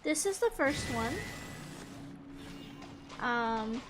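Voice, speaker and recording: High-voiced chatty woman gamer. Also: animated, drawn-out words, noisy background. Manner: chatty, thinking aloud. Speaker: gaming video host. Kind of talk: casual playthrough commentary.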